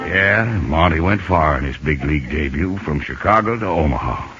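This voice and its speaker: An actor playing a folksy, colloquial baseball coach who tells the story in a 1950s radio drama. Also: male voice, rumbling low, animated, normal speed, dull audio. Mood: wistful storytelling. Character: gruff, folksy older male baseball coach telling the story. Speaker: narrator and supporting character. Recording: old radio broadcast with music behind.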